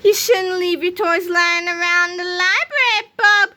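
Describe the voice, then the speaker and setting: A woman's voice: high voice, big pitch swings, moderate pace, sharp tone, pitched above the performer's own voice, exaggerated voice. A woman voicing a sly, cheeky schoolboy prankster in a children's storybook, with a teasing manner.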